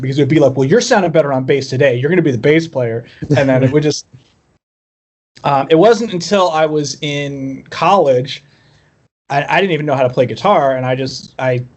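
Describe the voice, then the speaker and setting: A man chatting casually as a musician guest on a podcast, telling personal stories in a wry, self-deprecating way. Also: on the high side. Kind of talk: casual chat, personal storytelling.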